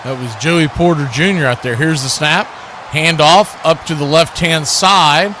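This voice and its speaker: A man's voice: on the high side, a little slow, sharp tone, a little hiss or hum. A man calling live football play-by-play in punchy short phrases with colourful action verbs. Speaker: play-by-play commentator, watch party host. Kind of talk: live American football radio-style commentary.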